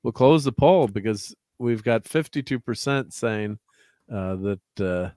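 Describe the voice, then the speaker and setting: A man's voice: on the low side, animated, measured pace, dark tone, no room sound. A man hosting a casual livestream, rambling through chat names and laughing often. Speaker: host.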